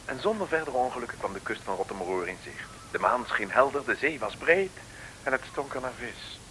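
A man's voice: medium pitch, animated, a little fast, bright, forceful tone, low-quality recording. A man narrating a comic radio adventure serial as a wry, mock-solemn storyteller in a vintage scripted style. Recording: slightly hissy old radio recording.

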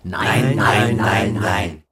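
A man's voice: fairly low pitch, takes it slowly, a bit bright. A man playing a brooding lover who recalls childhood and family in short poetic phrases, in a literary radio drama. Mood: agitated. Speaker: radio play actor.

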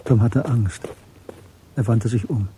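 A man narrating a scripted radio drama in a measured, detached storytelling manner. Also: mid-range voice, dark tone, slight background noise.

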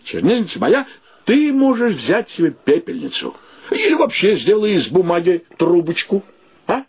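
A man's voice: high voice, wildly swooping pitch, normal speed, darkish tone, breathy edge, full character voice. An actor playing a fussy, bossy, comically indignant bohemian in a radio play, insistently repeating his words. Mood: fussily indignant. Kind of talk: theatrical radio play acting.

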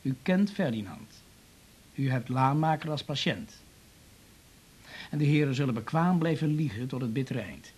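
An actor playing a businesslike, sceptical and insistent police investigator in a 1980s radio drama, with a Netherlands Dutch accent. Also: male voice, medium pitch, animated, moderate pace, long pauses, darkish tone, breathy edge.

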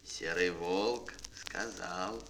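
A man's voice: low voice, very slow, a bit bright, slight background noise. A man narrating a children's fairy tale in a dramatic, declamatory way, lingering on key words. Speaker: storyteller, narrator. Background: music.

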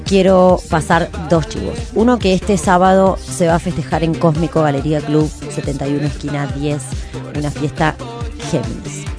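Low-voiced Argentine woman hosting radio, music behind. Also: fairly even intonation, moderate pace. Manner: informal, hesitant list-making. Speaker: radio show host. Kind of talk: casual live radio announcements.

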